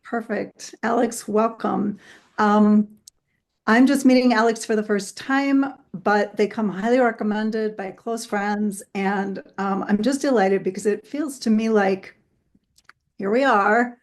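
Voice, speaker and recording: A woman therapist hosting an online interview. She talks in a friendly, chatty way with frequent "um" pauses, over a video call. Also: medium pitch. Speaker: host and therapist. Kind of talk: casual webinar introduction.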